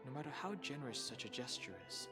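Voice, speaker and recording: An actor playing a calm, analytical male outsider in a video game, speaking in a measured, precise, formal way. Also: mid-range voice, natural voice. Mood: wary, serious. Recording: loud music behind.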